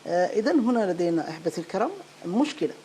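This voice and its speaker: A woman giving an unscripted step-by-step software tutorial, halting and repeating phrases as she searches for words. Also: on the low side, lively intonation. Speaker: programming tutorial instructor. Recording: clearly noisy home-made recording.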